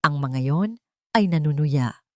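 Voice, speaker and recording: A woman's voice: low voice, a little slow, no echo, clean studio sound. A woman narrating a scripted romantic drama with emotive, melodramatic storytelling. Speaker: narrator of audio love stories.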